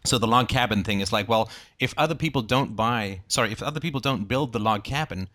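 A man with a fairly low voice takes part in an informal group discussion. He reasons aloud and explains positions through hypothetical examples, in the manner of someone hosting a book club debate. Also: a little fast, a bit bright.

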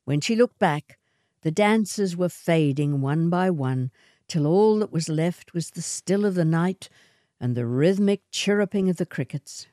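A woman narrates literary fiction with a slow, measured delivery. Her voice is fairly low and mellow, atmospheric in manner, recorded close and dry. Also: lively intonation, dry recording. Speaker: short story reader.

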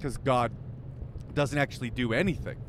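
A man co-hosting a podcast while driving, trading sarcastic, deadpan quips in casual chat. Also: mid-range voice, normal speed, slight background noise. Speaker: podcast co-host. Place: driving a car.

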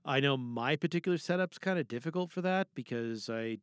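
A man's voice: mid-range voice, animated, a little fast, clean tone, no room sound. A man hosting a podcast in a casual, rambling, informal way, close on a microphone in a small booth. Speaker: podcast host. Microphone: boom microphone at a desk.

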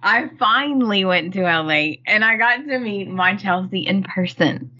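A woman's voice: mid-range voice, expressive, normal speed, muffled recording, very noisy. A woman hosting a podcast in casual chat, drawing her words out and giggling. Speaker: podcast host.

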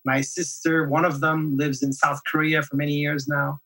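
A man's voice: on the high side, level tone, normal speed, sharp tone. A man whose first language is French, a podcast guest talking reflectively, often restarting and repeating words. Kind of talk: conversational interview.

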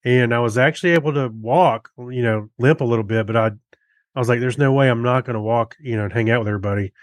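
An American man talks casually as a podcast co-host. His voice sits at an average male pitch and his manner is folksy and easygoing. The recording is dry and close. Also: fast, dry recording. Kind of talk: casual chat.

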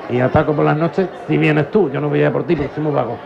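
A man's voice: a little high, fairly even intonation, fast talker, vocal fry. A man playing a bumbling fake foreign art director. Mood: silly. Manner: mangles Spanish with fake English and French words. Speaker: comic guest. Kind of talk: improvised radio comedy sketch. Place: outdoor town fair. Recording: lo-fi live recording with crowd. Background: crowd noise.

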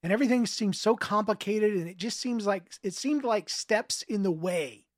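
High-voiced male storyteller, very dry sound. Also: normal speed, no echo. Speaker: author narrating his story, interviewee. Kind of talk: audiobook narration and reflective interview answers.

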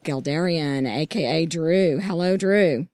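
A hoarse woman hosts a casual chat with a low, throaty voice, sounding enthusiastic despite the strain. The room is dry and close, with no echo. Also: a little slow, a little mellow. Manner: upbeat, enthusiastic. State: hoarse, lost voice. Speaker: podcast host.

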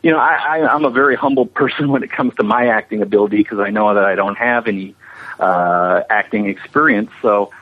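A husky-voiced man speaks as a guest in a casual interview, explaining in a self-deprecating, anecdotal manner. His voice comes over a muffled, lo-fi connection. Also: on the low side, brisk. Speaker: interview guest, special effects animator.

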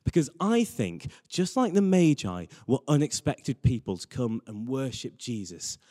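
A man's voice: medium pitch, sing-song, measured pace, warm tone. A man preaching a sermon with personal anecdotes, earnest and repeating phrases for emphasis. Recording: reverberant hall.

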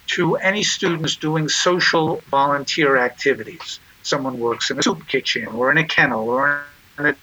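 Male guest, very forceful tone, slightly high voice, earnest, restarts a lot. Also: low-quality recording. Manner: earnest, emphatic, with frequent restarts. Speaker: interview guest, nonprofit chairman.

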